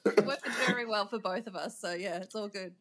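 A woman's voice: medium pitch, a little fast, crowd noise. A middle-aged Australian woman, a composer and conductor, talking casually as an interview guest, with many ums and frequent laughs.

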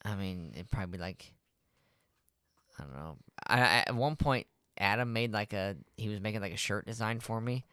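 A man's voice: fairly low pitch, normal speed, bright, forceful tone, clean tone, no echo, clean studio sound. A man co-hosting a casual podcast, talking in a laid-back, slangy way peppered with swearing. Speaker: podcast co-host, a fighter. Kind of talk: casual conversational podcast chat.

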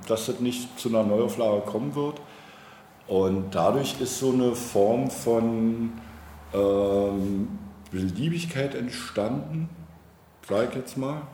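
A man speaking as a politician in a casual interview, halting as he searches for words. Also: fairly low pitch, mellow. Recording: roomy echo, clear background noise.

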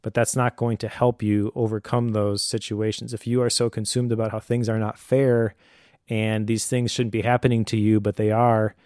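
A man's voice: fairly low pitch, level tone, normal speed, darkish tone, no room sound, studio quality. A man co-hosting an advice podcast, talking conversationally in an earnest, explanatory way. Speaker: podcast co-host.

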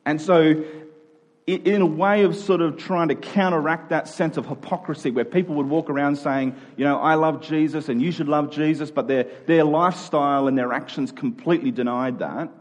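Husky-voiced man preaching conversationally. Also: a little high, moderate pace. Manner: emphatic, informal, repeats key phrases. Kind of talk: conversational sermon.

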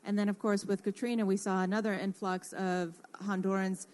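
A woman's voice: medium pitch, flat delivery, fairly quick, darkish tone. Earnest woman with a mid-range voice. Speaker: radio panelist who works in construction. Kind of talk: informal radio discussion.

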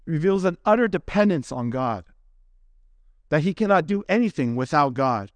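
A male pastor with a fairly high-pitched voice delivers a sermon. He speaks earnestly and deliberately, stressing phrases in parallel runs. The sound is close and dry, with little room echo. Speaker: pastor preaching to his congregation. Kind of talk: expository sermon closing in prayer.

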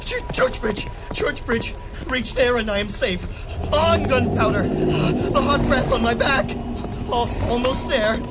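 A shrill, panicked schoolmaster. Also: male voice, unhurried, heavy background noise. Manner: panicked, shrill, stammering cries. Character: terrified lanky male schoolmaster fleeing on horseback. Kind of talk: community radio play acting.

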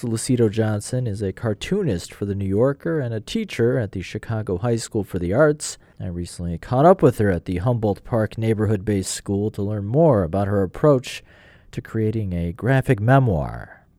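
A man's voice: fairly low pitch, animated, moderate pace, mellow, no room sound. An American man hosting an arts radio show, reading scripted narration and interview links.

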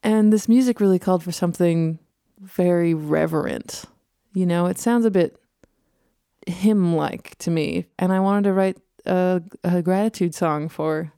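A woman hosting a podcast, a songwriter recalling where a song came from in a thoughtful, unhurried way with small restarts. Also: fairly low pitch, dark tone, clear, resonant tone, dry recording, studio quality. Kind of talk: reflective storytelling.

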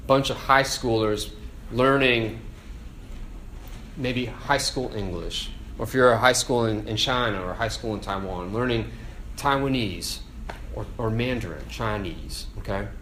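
A man preaching a casual, conversational sermon, animated and full of "like" and "right?". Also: on the low side, measured pace, a little hiss or hum.

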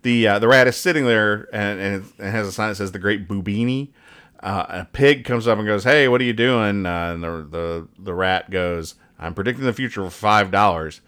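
A man's voice: fairly low pitch, normal speed, fairly bright tone, smooth. A man hosting casual banter as he reads out comic strips, with a rambling, joking, mock-serious manner. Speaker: podcast host.

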